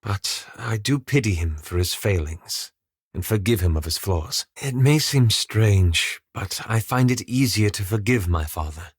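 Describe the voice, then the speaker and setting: An actor playing a reserved, scholarly male fantasy adventurer in a video game, speaking formally and articulately with measured, wry understatement. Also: on the low side, expressive, breathy edge, dry recording, studio quality. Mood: reflective, sober. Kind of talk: scripted video game character dialogue.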